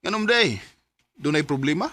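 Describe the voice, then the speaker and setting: An actor with a Cebuano Filipino accent playing a worried, homesick young husband working abroad in a radio drama. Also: male voice, fairly high pitch, lively intonation, moderate pace, pushed and bright, heavily acted. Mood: anxious. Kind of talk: Bisaya radio drama acting.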